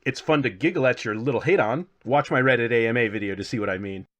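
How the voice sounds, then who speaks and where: A man's voice: medium pitch, quick pace, a bit bright. A man hosting a casual scripted game review with playful, self-deprecating asides. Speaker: video game reviewer and channel host.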